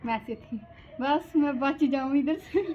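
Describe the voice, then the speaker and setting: A woman's voice: sits high, measured pace, soft background music. A woman joining in a casual family conversation. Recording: dull home recording, faint noise.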